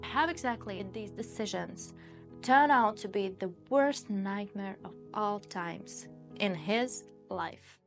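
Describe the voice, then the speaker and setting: A woman's voice: mid-range voice, animated, takes it slowly. A woman narrating and interviewing in a friendly storytelling manner. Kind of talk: documentary narration. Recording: faint music underneath.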